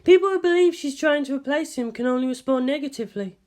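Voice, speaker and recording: A cool senior woman scientist acted by a female reader, high in pitch and measured in delivery. She lectures dryly, like a world-weary anime mentor guiding her junior. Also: darkish tone, natural voice. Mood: measured appraisal. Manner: measured, didactic, dry. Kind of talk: anime fan fiction character voice.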